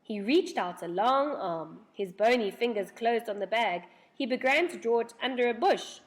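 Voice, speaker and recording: A woman reading a children's storybook aloud in an expressive, dramatic storytelling manner. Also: on the high side, normal speed.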